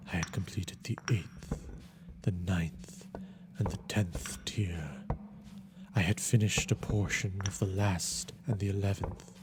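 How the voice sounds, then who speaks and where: A man giving a theatrical, deliberate and intense first-person reading of a gothic story as its narrator. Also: low-pitched.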